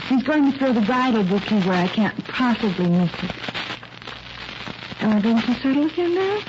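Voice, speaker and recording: A coy, sympathetic secretary, played by an actress in a vintage radio detective show. Her voice sits on the high side, with a playful wit. The recording is muffled and clearly noisy. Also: expressive, normal speed, close to an everyday voice. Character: loyal woman secretary, sympathetic and coyly witty. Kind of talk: 1940s radio drama acting.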